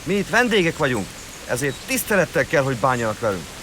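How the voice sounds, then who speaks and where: Fast-talking camp interpreter. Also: male voice, medium pitch, big pitch swings, a bit bright, a little hiss or hum. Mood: matter-of-fact. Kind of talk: video game dialogue acting.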